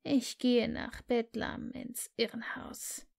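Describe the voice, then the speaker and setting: A woman's voice: on the high side, fairly even intonation, takes it slowly, vocal fry, full character voice. A woman reading the part of a grumpy, miserly old man who answers curtly and scornfully. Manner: curt, scornful grumbling.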